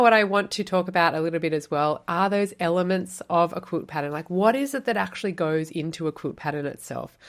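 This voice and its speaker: An Australian woman hosting a podcast, explaining quilt pattern writing in a chatty, encouraging way with lots of rhetorical questions. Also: on the low side. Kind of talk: solo podcast teaching.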